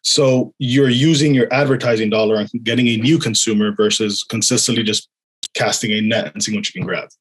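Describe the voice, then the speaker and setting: A man giving an explanatory software demonstration in an informal, unscripted way. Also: medium pitch, breathy edge. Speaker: software developer giving a product demo.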